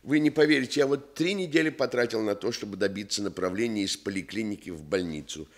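Actor playing a pompous low-voiced Soviet busybody. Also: male voice, quick pace, natural voice. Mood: ingratiating, complaining. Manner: officious, bureaucratic speechifying, false modesty. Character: pompous, self-important Soviet male housing activist and schemer. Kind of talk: Soviet radio theatre acting.

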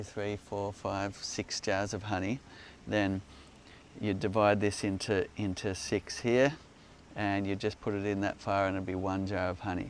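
A man with a low, gravelly voice answers questions and explains with enthusiasm as he demonstrates in an outdoor garden. There is faint outdoor noise in the background, and the recording sounds like a phone camera held nearby. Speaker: beekeeping company host.

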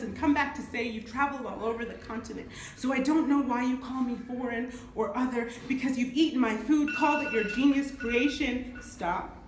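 A woman's voice: sits high, fairly bright tone, a little hiss or hum. A woman in her thirties from Nigeria performing a spoken word poem at a lectern, rhythmic and emphatic, recorded from a camera across a small room. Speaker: poet. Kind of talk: spoken word poetry reading. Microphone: far camera. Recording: echoey room.

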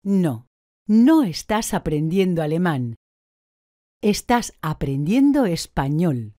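Slow, mellow woman answering drills. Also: on the low side, sing-song, dry recording, clean studio sound. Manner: slow, deliberate, clearly articulated answers. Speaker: language course speaker.

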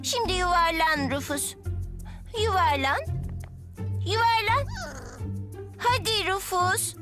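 A cheerful young cartoon girl, with an extremely high voice and an unhurried pace. She is eager and coaxing in a childlike way, as in a dubbed children's show. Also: music in the background. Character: cheerful young girl devoted to her dog. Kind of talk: animated children's cartoon dub.

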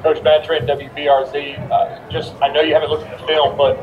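A man's voice: a little high, level tone, brisk, phone-quality sound, music in the background. A man working as a reporter, putting questions at a press conference, in thin-sounding audio. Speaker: television reporter.

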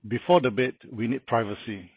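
A middle-aged man shows off a car fitted for camping, talking plainly and pausing on uh fillers. His voice is a little husky and sits at an ordinary pitch. The sound is thin and dry, as if caught on a phone. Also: dry recording, phone-quality sound. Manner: plain, instructive, with frequent uh fillers. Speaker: vlogger demonstrating a car camping setup. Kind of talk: casual how-to walkthrough. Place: outdoor carport beside a house.

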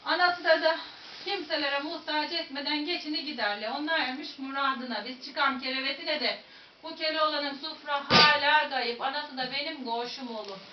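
Lively woman folk-tale teller, Central Anatolian accent; distant mic, faint noise. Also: sits high, moderate pace. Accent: Central Anatolian Turkish. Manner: lively, dramatic, addresses listeners directly. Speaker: storyteller. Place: museum room. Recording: echoey room, distant, dull, faint noise.

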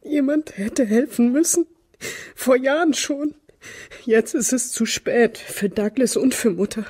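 An actress playing an emotionally detached woman with a fearful streak in a German radio crime drama, with a husky voice. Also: a little high, unhurried, darkish tone. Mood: anxious, pleading.

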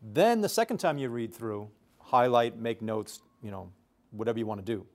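Middle-aged professor teaching a class. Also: male voice, mid-range voice, lively intonation, normal speed. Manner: explains step by step, conversational. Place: tiered university classroom.

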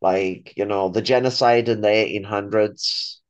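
A man's voice: fairly low pitch, steady tone, unhurried, smooth. Rambling young man, dull audio. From Ireland. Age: young adult. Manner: rambling, sweary. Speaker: political forum guest. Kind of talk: casual political discussion. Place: small room at home, video call.

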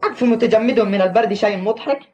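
A woman's voice: a little high, fast, dark tone, natural voice. A woman playing a friendly young goatherd boy in a dubbed children's cartoon. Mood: matter-of-fact.

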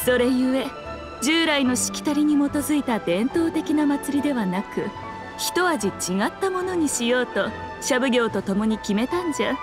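A woman's voice: high-pitched, animated, moderate pace, music in the background. A woman voicing a sly, self-assured fox shrine maiden in a Japanese anime game, speaking in a lordly, archaic, teasing manner.

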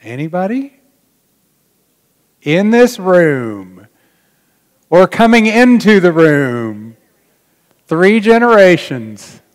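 A middle-aged man, a pastor addressing his congregation informally in a folksy, dry manner with long pauses, in a large church. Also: sits high, very animated. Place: large church sanctuary, indoors. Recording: reverberant church hall.

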